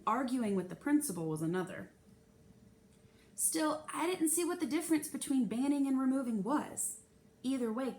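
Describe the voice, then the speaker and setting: A woman's voice: fairly high pitch, a little mellow. A woman reading a children's novel aloud expressively, narrating in the first person. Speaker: storybook reader.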